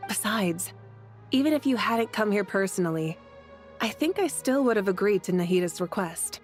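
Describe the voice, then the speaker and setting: A woman's voice: mid-range voice, animated, moderate pace, natural voice. A woman voicing a confident, easygoing female leader god in a video game, with a breezy, teasing manner. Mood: sincere, assured. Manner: breezy, self-assured, teasing. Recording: game music behind.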